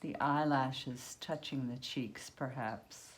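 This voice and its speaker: A woman leading a guided yoga nidra meditation, speaking unhurriedly in short phrases with long pauses between them. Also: rumbling low, fairly even intonation.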